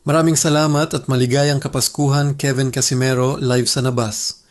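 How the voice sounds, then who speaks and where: A man's voice: mid-range voice, little pitch movement, moderate pace, noisy background. A man with a Filipino accent anchoring a scripted TV newscast. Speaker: news anchor. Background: loud music.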